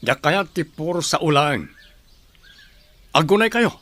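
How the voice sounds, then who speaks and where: An actor playing a stern, impatient leader of a secretive group in an Ilocano radio drama. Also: male voice, on the high side, animated, measured pace, a bit bright, no echo. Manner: commanding, impatient.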